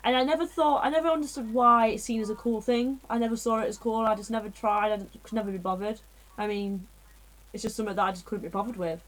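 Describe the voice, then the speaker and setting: A young woman talks casually as a podcast co-host. Her voice sits fairly high. She drifts off topic, trips over her own sentences and keeps breaking into giggles. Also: fairly even intonation, fast, low-quality recording. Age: young adult. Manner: rambling, self-interrupting, giggly. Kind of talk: casual chat.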